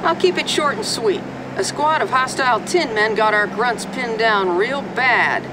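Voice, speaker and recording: An actress playing a dry-witted forward air controller with crisp, businesslike military delivery. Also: female voice, on the high side, lively intonation, a little slow, background noise. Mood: brisk, businesslike. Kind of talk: military audio drama acting.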